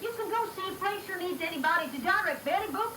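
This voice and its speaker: Woman as gruff cartoon studio boss, high-voiced, echoey. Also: expressive, fast talker. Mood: bitter. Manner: gruff, grumbling. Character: gruff, middle-aged male cartoon studio boss, bitter, stingy. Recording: echoey, noisy reel-to-reel tape.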